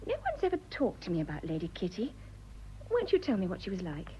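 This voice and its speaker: A woman's voice: on the low side, huge pitch swings, fast talker, warm tone, slight background noise. An actress with a British accent playing an earnest, hesitant, idealistic young wife in her twenties in a radio drama. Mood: romantic, pleading. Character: romantic, idealistic, nervous young English wife of twenty-five. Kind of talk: stage comedy radio drama acting. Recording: slightly dull, lo-fi audio.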